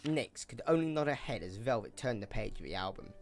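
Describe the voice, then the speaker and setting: A man narrating a scripted audio drama in an expressive storytelling manner. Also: mid-range voice, brisk, soft background music.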